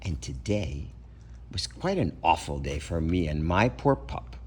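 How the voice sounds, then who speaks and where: A man's voice: a bass voice, sing-song, unhurried, a little mellow, breathy edge. A man reading a children's storybook aloud expressively, as a parent would for kids.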